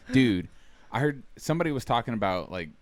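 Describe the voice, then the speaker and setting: A young man hosting a casual podcast, loud and excitable, laughing often. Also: medium pitch, moderate pace, dry recording. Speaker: podcast host. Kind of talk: casual chat.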